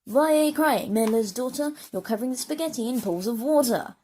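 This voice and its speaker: A woman voicing a tiny, impish little man with a long beard who giggles and talks in quick rhymes. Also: very high-pitched, animated, dark tone, pitched above the performer's own voice. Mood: playful.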